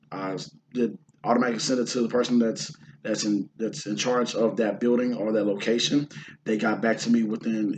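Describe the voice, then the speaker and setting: A hoarse man from the Houston area chatting casually about his indie film shoot, rambling with lots of fillers and restarts. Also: on the low side, slight background noise, rough recording. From Houston, Texas area. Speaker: indie filmmaker and actor. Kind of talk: casual vlog talk.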